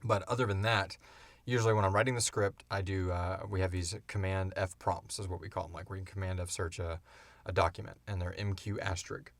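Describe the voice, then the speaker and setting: A man in his thirties with a fairly low voice, recorded dry. He talks as a podcast host in an informal chat, telling stories in an animated, loose way and acting out bits as he goes. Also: fairly quick, vocal fry, dry recording. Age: 30s. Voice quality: wheezy breathing. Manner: animated storyteller, acts out sounds and swears casually. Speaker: podcast host and audio drama creator. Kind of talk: casual chat answering listener questions.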